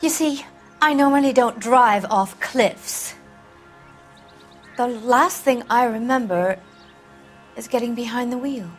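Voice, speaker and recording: A woman's voice: on the high side, slow, long pauses, faint music behind. A woman playing a vain, brassy, evasive American film actress of the 1940s in a video game drama, with a haughty, teasing, theatrical delivery. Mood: evasive, dismissive.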